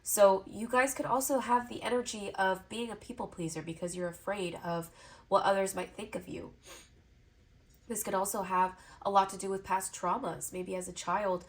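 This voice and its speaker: A woman with a stuffy, congested nose, giving a pick-a-card tarot reading to camera in a soft, encouraging way, with repeated phrases in flowing lists. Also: medium pitch, steady tone, normal speed. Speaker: tarot reader.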